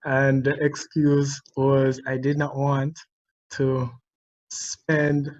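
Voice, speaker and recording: A Jamaican man shares a personal story over a video call, lively and quick to laugh at himself. His voice sits in a middle range. The audio is a bit muffled and dull. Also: mid-range voice. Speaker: discussion participant.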